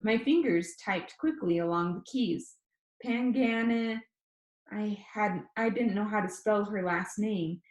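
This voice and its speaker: A woman reading a first-person novel aloud as an audiobook, with expressive storytelling delivery. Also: mid-range voice, unhurried, echoey room. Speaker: audiobook reader.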